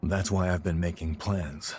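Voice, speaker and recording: An actor playing a suspicious warrior in a game cutscene, serious and confiding, as to an old friend. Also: male voice, deep voice, steady tone, moderate pace, darkish tone, breathy edge. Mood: guarded, uneasy. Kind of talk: dramatic game cutscene dialogue.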